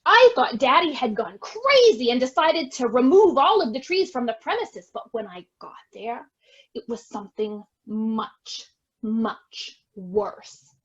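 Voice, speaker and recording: A dull, lo-fi recording of a woman acting as a defensive heiress in a stage farce. She speaks slowly and theatrically in a high voice, full of melodrama and exclamation. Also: sing-song, a bit bright, breathy edge, full character voice. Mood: dramatic storytelling. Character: defensive, melodramatic estate heiress, bickering with her sister. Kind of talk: amateur stage farce acting over video.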